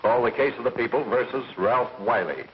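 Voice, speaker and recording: An American man acting a stern, solemn courtroom judge in a 1930s film, speaking in formal, measured oratory. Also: mid-range voice, natural voice. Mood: admonishing. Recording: muffled, noisy 1930s film soundtrack.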